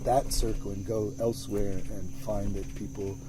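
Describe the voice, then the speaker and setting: A man's voice: on the low side, level tone, normal speed. A man being interviewed about his music, talking in a thoughtful, rambling way with many restarts, recorded outdoors in the countryside. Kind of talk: relaxed conversational interview. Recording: faint outdoor noise with crickets.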